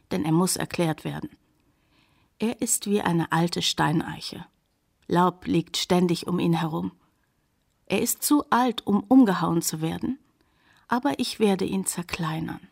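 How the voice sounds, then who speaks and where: Devoted daughter, low-pitched, creaky edge, reflective, measured radio play narration. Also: female voice, darkish tone. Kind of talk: poetic scripted radio drama narration.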